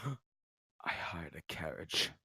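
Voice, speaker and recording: A man plays a highborn fantasy knight with a haughty, hushed manner. His low voice is husky and unhurried, recorded dry. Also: lively intonation, no echo, exaggerated voice. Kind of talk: table read of a fantasy film script.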